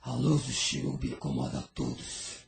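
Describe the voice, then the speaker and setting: Very gravelly, raspy dragon lord. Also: male voice, extremely low pitch, huge pitch swings, normal speed, full character voice. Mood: grudging, scheming. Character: Dragon, proud resentful dark spirit lord of rebels. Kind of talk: audio drama acting.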